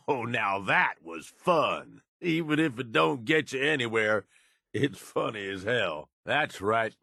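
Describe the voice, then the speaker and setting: A man voicing a cynical, ruthless raider henchman in a video game, with a rough husky voice and a folksy, slangy, sardonic drawl. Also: medium pitch, sing-song, takes it slowly, pushed and bright, no room sound, clean studio sound, full character voice. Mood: approving, gleeful. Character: gruff cynical ruthless male raider henchman in a post-apocalyptic wasteland.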